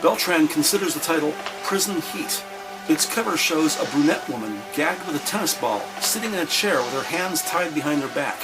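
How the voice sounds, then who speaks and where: A man voicing the narrator of a crime audio drama, describing scenes in a measured, wry, scripted way. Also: a little high, lively intonation, loud music behind the voice, lightly acted, low-quality recording.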